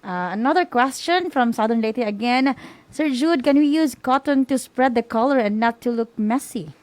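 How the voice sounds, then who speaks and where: A woman's voice: a little high, moderate pace, no room sound. A woman with a Filipino accent co-hosting in a chatty way, reading out questions and mixing local words with English. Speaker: co-host of a school-on-the-air program.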